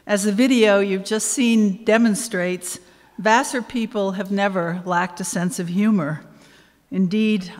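A woman of around seventy giving a measured, formal welcome speech with dry humor from a podium microphone on stage. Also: medium pitch. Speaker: event host, anniversary committee co-chair. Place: on stage in a large hall. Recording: reverberant concert hall.

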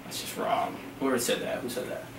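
A young American man co-hosting a casual chat, bantering goofily and letting his sentences trail off. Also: brisk. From United States. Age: young adult. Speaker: co-host. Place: small cluttered storeroom indoors. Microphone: far camera mic. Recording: echoey room, distant mic, faint hiss.

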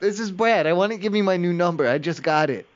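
A man's voice: sits high, fast. A man making an improvised prank phone call, rambling, stammering and pestering whoever he has reached. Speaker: prank caller.

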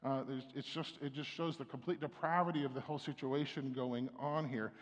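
A man's voice: mid-range voice, fairly even intonation, a little fast, muffled recording. Middle-aged male pastor in a reverberant church. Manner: conversational preaching with frequent restarts and fillers. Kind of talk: sermon. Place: church sanctuary. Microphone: stand mic at pulpit, far camera.

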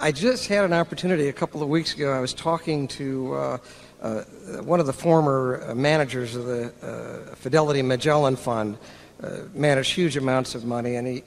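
A man's voice: medium pitch, gravelly, slight background noise. Hesitant man asking a question in a hall. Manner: hesitant, many fillers. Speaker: audience member asking a question. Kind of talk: prepared audience question. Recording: reverberant large hall.

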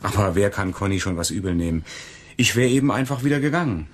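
Steady loyal friend in a german radio crime play, low husky voice. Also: male voice, fast. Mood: chatty, reassuring. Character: loyal, reassuring male friend of the family.

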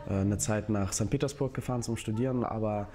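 A man giving reflective personal testimony, searching for his words in a halting way. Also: lowish voice, steady tone, warm tone. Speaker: interviewee, actor. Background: music.

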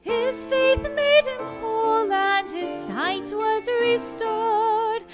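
A woman sings a hymn in a very high voice. Her lines are drawn out and extremely slow. Loud instrumental music plays behind her. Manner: slow, sustained sung lines. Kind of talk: hymn singing.

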